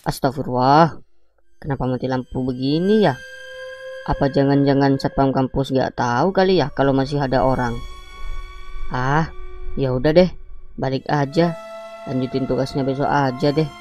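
A man voicing a skeptical but easily frightened young college student in a cartoon, colloquial and expressive, with a Jakarta accent. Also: mid-range voice, normal speed, long pauses, faint music behind, close to an everyday voice. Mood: uneasy. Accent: Jakarta Indonesian. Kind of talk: animated cartoon dialogue.

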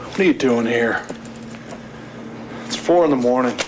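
Actor as sober American lawyer, noisy background. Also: male voice, medium pitch, unhurried, natural voice. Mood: weary, grim. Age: 40s. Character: weary, principled male lawyer in his forties. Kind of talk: understated television drama acting. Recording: noisy television drama soundtrack.

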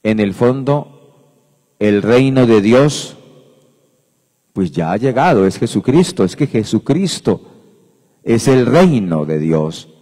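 Slow-preaching priest in a reverberant church. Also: male voice, mid-range voice, big pitch swings, dark tone. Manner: unhurried, emphatic, repeats phrases, gestures broadly. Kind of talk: homily at Mass. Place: church sanctuary at the lectern. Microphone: handheld microphone.

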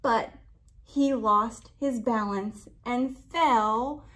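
A young adult woman reading a children's picture book aloud with expressive, drawn-out emphasis, pausing to put questions to young listeners. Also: on the high side. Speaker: library storytime reader.

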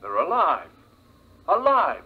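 Animated, husky astonished scout. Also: male voice, fairly high pitch, slow pace, full character voice. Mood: amazed. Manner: animated, emphatic. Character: astonished male scout from an atomic-laboratory colony. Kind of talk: 1950s American radio drama acting. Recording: dull 1950s radio recording.

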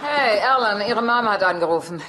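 A woman plays a brash, motherly sitcom housekeeper who teases as she talks. Her voice is medium in pitch, with loud noisy ambience behind it. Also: lively intonation, a little fast, close to an everyday voice. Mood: teasing, proud. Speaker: actor in a dubbed sitcom. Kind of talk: German sitcom dubbing.

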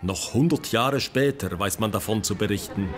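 A man narrating a scripted documentary radio play as its storyteller, with a husky voice. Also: lowish voice, moderate pace, crowd noise.

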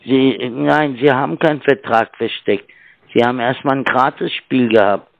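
A man calling as a sales agent by phone, halting and fumbling with fillers, heard over a tinny phone line. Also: mid-range voice, steady tone, vocal fry, no room sound. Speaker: scam caller. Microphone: telephone.

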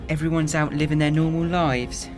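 A man's voice: fairly high pitch, flat delivery, moderate pace, music in the background, close to an everyday voice. A man acting a lonely, regretful immortal in an audio drama, gloomy and understated. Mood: gloomy, bored.

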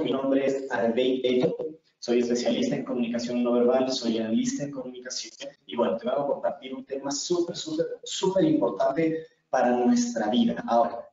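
A man's voice: medium pitch, dark tone. A man in his twenties from Ecuador with an Ecuadorian accent, giving an earnest webinar lecture on communication and repeating words for emphasis. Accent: Ecuadorian Spanish. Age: 20s. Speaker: communication instructor. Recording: echoey room, far from mic, muffled.

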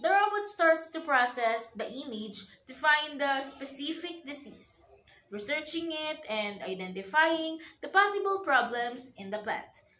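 A young woman presenting a student research project, reading haltingly from a script. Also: sits high, bright, forceful tone, echoey room.

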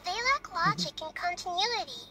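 A woman voicing a gentle, wise, childlike goddess in an anime game, speaking softly and thoughtfully. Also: extremely high pitch, animated, normal speed. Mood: gentle, curious. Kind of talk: anime video game voice acting. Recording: game music behind the voice.

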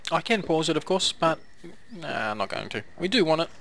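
A man giving casual commentary while playing a strategy game, hoarse and coughing now and then as his voice wears out, chatting to his villagers as he goes. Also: fairly high pitch, sing-song, fairly quick, a bit bright, slight background noise. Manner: chatty, talks to the game's villagers. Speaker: gameplay commentator. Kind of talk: casual let's-play commentary.

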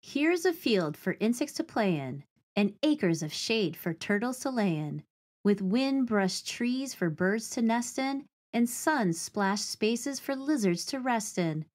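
A woman's voice: fairly high pitch, very animated, slow pace, darkish tone. Woman reading a children's storybook aloud.

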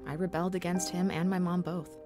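A woman's voice: fairly low pitch, little pitch movement, fairly quick, over music, lightly acted. An actress playing a defiant, wounded young woman in a radio drama. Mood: reflective, resolute.

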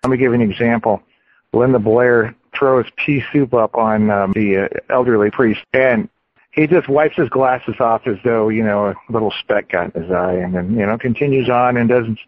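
A male radio co-host asks the guest long, chatty questions. His voice is of middling pitch. The sound is dry and narrow, like a phone line. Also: normal speed, no room sound. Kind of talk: interview questions.

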